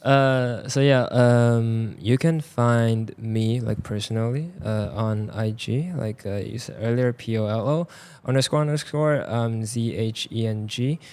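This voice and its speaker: Gravelly-voiced man with a Taiwanese Mandarin accent. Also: medium pitch, fairly even intonation, darkish tone. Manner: halting, many fillers, joking. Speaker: podcast guest. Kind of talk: casual chat. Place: clothing store.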